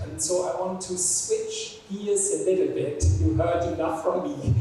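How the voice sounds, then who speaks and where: A man's voice: a little high, darkish tone, far from the mic, a little hiss or hum. Man explaining in an echoing gallery. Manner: explanatory, keeps adding "right?" tags. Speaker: museum director hosting a dialogue. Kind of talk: public talk to students. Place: museum gallery hall. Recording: echoey hall, speaker off-mic.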